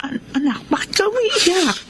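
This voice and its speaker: A woman's voice: extremely high pitch, big pitch swings, normal speed, a bit bright, a little hiss or hum, full character voice. A woman with a Filipino accent acting a tender, concerned middle-aged mother in a radio drama. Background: music.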